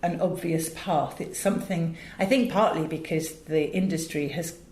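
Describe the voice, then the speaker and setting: A woman's voice: low voice, steady tone, moderate pace, a little mellow. A middle-aged British woman chatting articulately and emphatically as an interview guest, telling anecdotes about her work. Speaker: interview guest, audio director and drama school teacher. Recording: roomy, echoey indoor sound.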